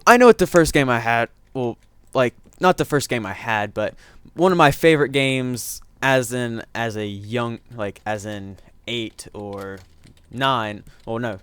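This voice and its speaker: A man's voice: mid-range voice, smooth, no echo. A man giving rambling, self-correcting let's-play commentary, his voice strained from getting sick. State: voice strained from illness. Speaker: gaming commentator.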